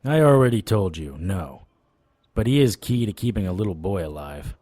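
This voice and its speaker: A tough, wry private eye of the supernatural in a noir audio drama. His voice sits a little low and is recorded close and dry. He talks with deadpan, sardonic swagger. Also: normal speed, dry recording. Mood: persuasive, joking. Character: wry, tough male supernatural private investigator, hard-boiled narrator.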